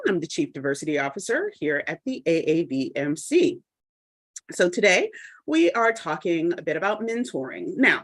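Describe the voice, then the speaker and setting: A woman hosting a podcast, reading a measured, articulate introduction before interviewing her guest. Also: low-pitched. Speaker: podcast host. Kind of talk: scripted introduction then interview.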